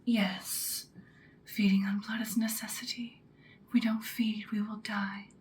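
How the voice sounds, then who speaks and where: A woman playing an ancient, powerful female vampire in an ASMR roleplay, whispering breathily in an intimate, calmly menacing, seductive way. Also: medium pitch, slight background noise, lightly acted. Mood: calm, instructive. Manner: slow, deliberate, intimate. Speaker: ASMR performer.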